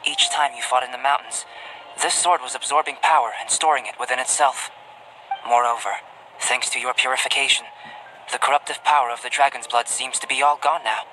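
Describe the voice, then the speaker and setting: An actor playing a composed, scholarly young alchemist in a video game cutscene, measured, formal and politely reserved. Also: male voice, medium pitch, steady tone, sharp tone, a little hiss or hum, lightly acted, poor audio. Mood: thoughtful, serious.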